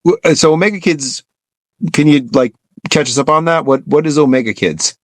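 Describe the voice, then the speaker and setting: A man's voice: on the high side, fairly quick, no echo. A man co-hosting an interview, speaking casually and conversationally. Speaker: interview show co-host.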